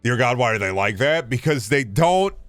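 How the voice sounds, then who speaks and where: A man with a mid-pitched voice talks casually, as a streamer does to his chat. He rambles along haltingly, circling back and repeating his phrases. The sound is dry, with no room echo. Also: expressive, fairly bright tone, soft background music. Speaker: video game streamer.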